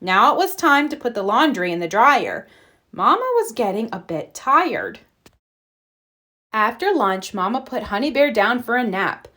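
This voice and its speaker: A woman's voice: a little high, big pitch swings, a little slow, sharp tone. A woman narrating a children's picture book as a storyteller reading aloud. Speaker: storybook reader.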